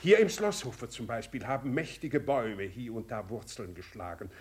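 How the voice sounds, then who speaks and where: An actor playing a sprightly old aristocratic uncle in a literary radio play, eagerly explaining with hesitations and repeated words. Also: male voice, medium pitch, animated, normal speed, darkish tone, slight background noise, close to an everyday voice. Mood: absorbed, enthusiastic. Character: sprightly old princely uncle, enthusiastic and rambling.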